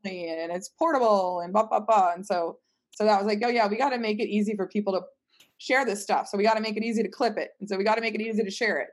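A woman's voice: medium pitch, steady tone, fast talker. A woman talking casually as a panel guest, explaining things informally and acting out imagined remarks as she goes. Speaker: startup team member, panel guest.

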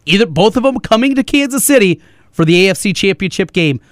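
A male sports radio co-host with a fairly high-pitched voice. He speaks in a conversational commentary style, close to the mic in a dry studio. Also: lively intonation, fast, dry recording. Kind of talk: sports talk banter and commentary. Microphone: close studio broadcast microphone.

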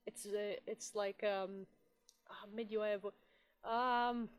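A woman's voice: medium pitch, fairly even intonation, takes it slowly, smooth. A woman in her twenties from Italy with an Italian accent, chatting casually as an artist guest, playful and teasing, laughing often. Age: 20s. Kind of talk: casual video chat.

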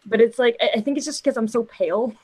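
A young woman in casual chat, speaking hesitantly with many pauses. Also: a little high, darkish tone, slightly rough.

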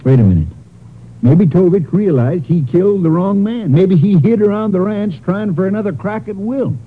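Casting: a plain-spoken country sheriff, fairly high male pitch, folksy and questioning, 1950s radio drama, muffled narrow vintage sound. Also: moderate pace. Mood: puzzled.

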